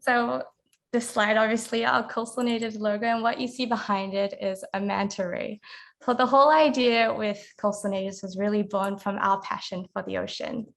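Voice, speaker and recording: A woman's voice: medium pitch, moderate pace, vocal fry. A woman chatting casually as a guest speaker while walking through her slides. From Singapore. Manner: relaxed, chatty, presenting slides. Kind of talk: casual presentation.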